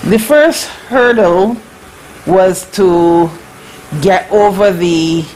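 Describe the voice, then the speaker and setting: A Barbadian woman in her forties, remembering her school days in a slow, halting way as an interview guest on a video call from her living room. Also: mid-range voice, a little mellow, slight background noise, low-quality recording. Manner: slow, reflective, halting with fillers. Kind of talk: casual recollection. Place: living room at home, indoors. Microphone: webcam on a video call.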